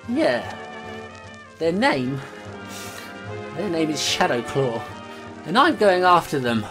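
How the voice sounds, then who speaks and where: A hurt male constable in a fantasy audio drama, blurting and flustered, his voice leaping wildly up and down at an unhurried pace. He sounds wounded and short of breath from pain. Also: sits low, very animated, over music, full character voice. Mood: determined. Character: battered male constable seeking vengeance.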